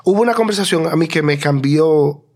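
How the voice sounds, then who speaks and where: A man co-hosting a casual talk show, telling stories quickly and with energy. His first language is Spanish, and he speaks English with a Spanish accent. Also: on the high side. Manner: fast, animated storytelling. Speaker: podcast co-host. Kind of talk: casual conversation.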